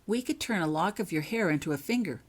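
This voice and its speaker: A woman's voice: lowish voice, animated, fast talker. A woman voicing a practical, helpful young male wizard in a storybook, speaking in a straightforward way.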